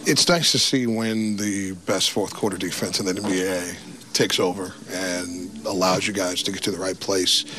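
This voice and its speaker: A man's voice: sits low, fairly even intonation, normal speed, a crowd in the background. A man, a sports reporter asking upbeat postgame questions. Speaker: sideline reporter. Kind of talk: interview questions.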